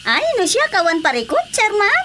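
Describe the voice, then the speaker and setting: A woman's voice: extremely high pitch, huge pitch swings, normal speed, background noise, heavily acted. A woman with an Ilocano accent acting in a radio drama as an agreeable, eager villager who defers to authority. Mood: compliant.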